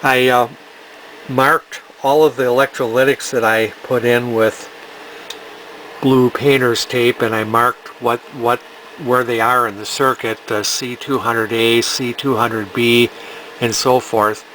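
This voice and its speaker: A man's voice: medium pitch, flat delivery, takes it slowly, fairly bright tone, slight background noise, poor audio. A man giving casual, hands-on repair commentary as a technician, plain-spoken and technical, thinking aloud as he works. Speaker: electronics repair technician and video host.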